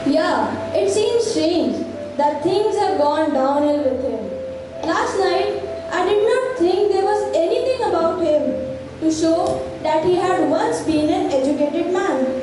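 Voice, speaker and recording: A woman's voice: very high-pitched, expressive, takes it slowly, full character voice. A woman playing a kind, persuasive young daughter of an ironmaster in an amateur stage play. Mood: puzzled. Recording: very echoey hall, faint music.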